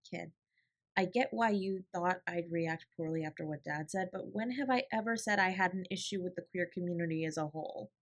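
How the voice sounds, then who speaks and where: A woman playing a protective, tender older sibling in an intimate audio roleplay, with a soft, breathy, reassuring delivery. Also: fairly low pitch. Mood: warm, teasing. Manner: gentle, reassuring, lightly teasing.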